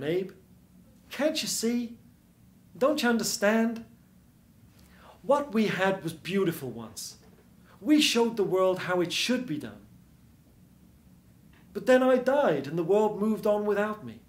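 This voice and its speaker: A bitter old mouse film star, played by a male storyteller, talks in a world-weary, hard-boiled noir manner. The voice sits fairly high and rings in a roomy stage space. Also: big pitch swings, unhurried, long pauses. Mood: sad, pleading.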